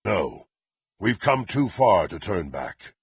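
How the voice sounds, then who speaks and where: A man playing a murderous, proud warrior in a fantasy video game, with a gravelly, raspy voice and archaic, haughty, theatrical delivery. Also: deep voice, very animated, slow, full character voice. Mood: haughty, brooding. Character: murderous, menacing, proud warrior serving a dark lord.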